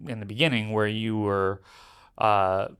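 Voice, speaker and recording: A man with a fairly low voice hosts a podcast interview. He feels his way through questions with small pauses and keeps checking in with a quick "right?". He comes across as casual and conversational. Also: steady tone, a bit bright, smooth. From Brooklyn, New York. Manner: halting, leading questions with "right?". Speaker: podcast host.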